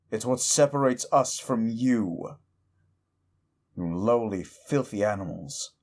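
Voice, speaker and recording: A man voicing a bitter, mocking lawful-evil male demon in an audio roleplay. He moves between hushed, menacing warnings and exasperated rants aimed at the listener. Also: medium pitch, close to an everyday voice.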